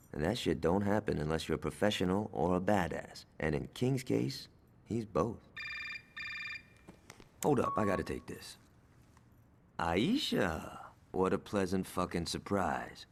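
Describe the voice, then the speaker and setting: Young male gangster for a video game. Cocky, foul-mouthed, sardonic, very lively delivery. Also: deep voice, measured pace, vocal fry, heavily acted. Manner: brash, sarcastic, profane. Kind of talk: video game cutscene acting.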